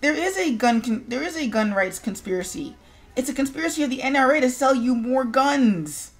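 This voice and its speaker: A woman's voice: sits high, animated, fairly quick. Sarcastic, blunt American woman chatting over music. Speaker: streamer, show host. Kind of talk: casual opinionated chat.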